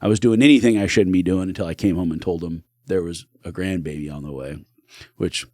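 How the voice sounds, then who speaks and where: A man's voice: low voice, a little fast, darkish tone, no echo, studio quality. A middle-aged man, a podcast guest, telling his life story in an earnest, reflective way. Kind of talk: candid personal storytelling.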